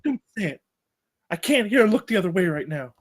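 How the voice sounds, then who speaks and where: An actress playing an earnest, exasperated young campus guard in a comedy table read. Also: female voice, medium pitch, very animated, a little fast.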